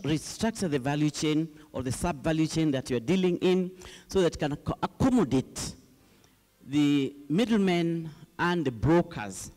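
A woman's voice: sits low, dark tone. Middle aged lady on a conference panel, emphatic short sentences, hall reverb. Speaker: panelist, company chief executive. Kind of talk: panel discussion remarks. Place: indoor conference stage. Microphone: handheld microphone close to mouth.

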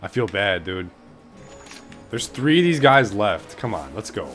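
A young man with a deep voice giving casual, excitable gameplay commentary from his bedroom, swearing a lot and calling everyone dude. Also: measured pace, faint music behind. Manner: excitable, swears constantly, says "dude" and "bruh". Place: small bedroom.

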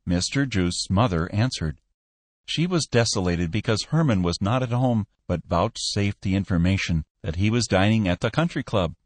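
A man narrating a story as an audiobook, with expressive, polished reading. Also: fairly low pitch, normal speed, no echo.

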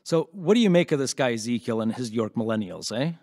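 A man's voice: medium pitch, expressive, quick pace, darkish tone, no echo, clean studio sound. An actor playing a composed male radio news host, presenting on air in an audio drama. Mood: composed, serious.

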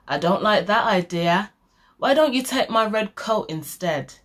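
Storybook boy, read by a woman: quick-witted, nervous, persuasive bargainer. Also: mid-range voice, animated, a bit bright. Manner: pleading, persuasive.

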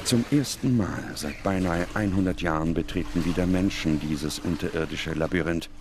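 A man's voice: low voice, moderate pace, noisy background. A man reading scripted documentary narration as the narrator. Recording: music under the voice. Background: music.

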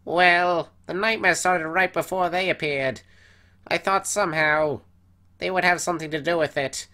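A woman's voice: fairly low pitch, moderate pace, pushed and bright. A woman voicing an exuberant, naive, affectionate male skeleton in a comic dub, with theatrical, emphatic delivery. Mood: earnest. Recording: voice over background music.

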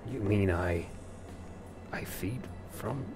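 A man roleplays a wary, confused fledgling vampire in a video game. His voice is low and extremely slow, full of hesitation. Music plays behind him. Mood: uncertain. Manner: hesitant, halting, sighing. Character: bewildered, wary newly turned male vampire. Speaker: gameplay roleplayer. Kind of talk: improvised roleplay over gameplay.

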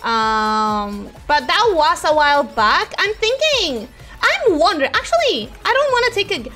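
A woman who is a native Spanish speaker, chatting casually and expressively as she livestreams a game. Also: high voice. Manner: animated, expressive, exclaiming. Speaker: game streamer. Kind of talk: casual livestream chat over gameplay.

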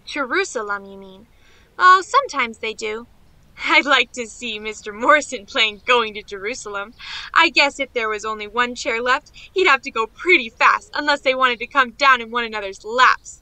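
A woman's voice: a little high, moderate pace, pushed and bright, dry recording. A woman playing a naive, eager young niece in a radio play, chattering on in rambling run-on sentences. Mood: earnest, excited.

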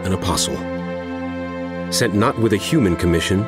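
An actor playing an impassioned apostle in a dramatized audio Bible, speaking in a solemn, emphatic, oratorical way. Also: male voice, low voice, expressive, moderate pace. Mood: solemn blessing. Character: impassioned apostle writing a stern, earnest letter. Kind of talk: dramatized scripture reading over music. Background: background music.

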